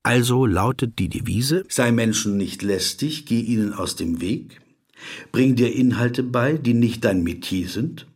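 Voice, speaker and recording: A man's voice: mid-range voice, unhurried, husky. A man acting a pedantic, brooding, awkward corporate lawyer in a German radio drama, fussy and dryly ironic in his digressions. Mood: brooding, self-critical. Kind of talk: German radio drama, dialogue and inner monologue.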